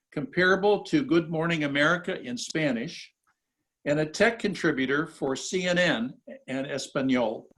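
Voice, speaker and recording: A man's voice: fairly high pitch, a bit bright, low-quality recording. Polite man hosting a video panel. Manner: polite, measured, hesitant with fillers. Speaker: academic host. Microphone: video call.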